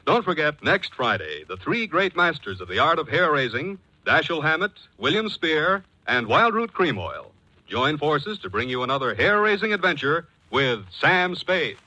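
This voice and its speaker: A male American announcer in classic 1940s radio style. His voice is bright and crisp-edged, with animated swings of pitch and a polished, emphatic sales delivery. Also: moderate pace, dry recording, highs cut off.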